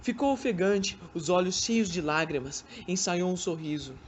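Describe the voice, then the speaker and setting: A woman's voice: fairly low pitch, animated, normal speed. A woman reading scripted dramatic narration as the narrator of a student radio drama. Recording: dull amateur recording with faint noise.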